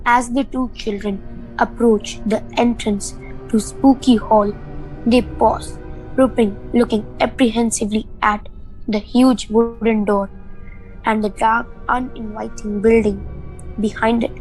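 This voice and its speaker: A woman narrates a spooky story in a deliberate, scripted way. Her voice is fairly high and unhurried, with faint music behind it. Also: little pitch movement, darkish tone, low-quality recording. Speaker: narrator of a radio play.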